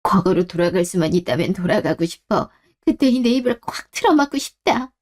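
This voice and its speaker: A woman's voice: lowish voice, lively intonation, fairly quick, darkish tone. A woman playing a scolding older mother-in-law. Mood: remorseful. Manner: scolding, then remorseful and pleading. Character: sharp-tongued older mother-in-law with cancer.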